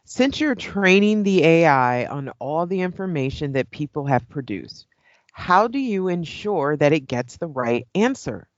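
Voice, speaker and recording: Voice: medium pitch, no echo, lo-fi sound, lightly acted. A performer playing a persistent, skeptical debater in an audio play, asking probing, insistent and articulate questions.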